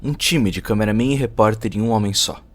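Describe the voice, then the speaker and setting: A man's voice: on the low side, a little fast, a little hiss or hum. A man with a Brazilian accent narrating a cyberpunk short story as a scripted, dramatic audio production. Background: faint music.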